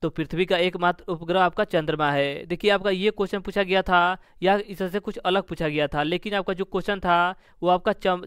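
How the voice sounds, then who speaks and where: A man's voice: sits high, a bit bright, smooth, no echo, studio quality. A man teaching an exam-prep lesson, methodically going through questions and answers with repeated set phrases.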